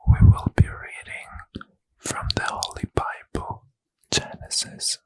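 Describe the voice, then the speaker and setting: A man reading scripture in a slow, breathy unvoiced ASMR whisper as a host. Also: no echo.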